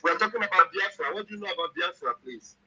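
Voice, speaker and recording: A man's voice: sits high, animated, fast, sharp tone, slightly rough. A man moderating an online political discussion.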